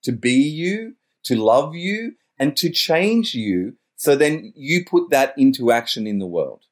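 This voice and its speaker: A man's voice: mid-range voice, sing-song, unhurried. A middle-aged man talking in a wordy, searching way as a podcast guest, often correcting himself mid-sentence. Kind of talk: reflective interview talk.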